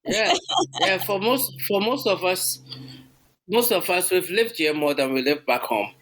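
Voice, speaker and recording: A co-host joins in with casual, chatty questions for the guest. The voice sits at a middle pitch. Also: expressive, measured pace, a bit bright, rough recording. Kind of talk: casual interview chat.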